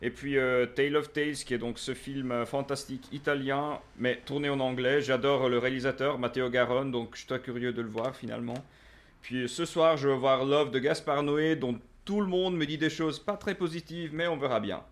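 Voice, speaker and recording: Guest in his twenties, Swiss French accent, mid-pitched, measured and hesitant. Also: fairly even intonation. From Finland. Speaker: guest film critic. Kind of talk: casual chat.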